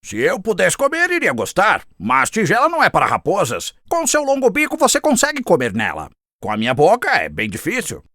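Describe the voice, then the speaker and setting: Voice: mid-range voice, wildly swooping pitch, brisk, a bit bright, no echo. An actor voicing a sly, polite fox in a children's cartoon, with exaggerated, theatrical delivery and a Brazilian accent. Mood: frustrated. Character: sly, cheerful, polite fox neighbour, fond of eating.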